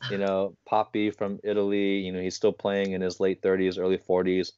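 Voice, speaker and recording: Man, 30s, low voice, chatty host, dry muffled sound. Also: smooth, no echo. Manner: animated storytelling, quick bursts. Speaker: podcast host. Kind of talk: casual interview chat.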